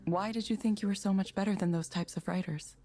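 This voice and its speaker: A woman voicing a calm, courteous AI counselling program in a visual novel, in a measured, polite, scripted manner. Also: medium pitch, soft background music, close to an everyday voice.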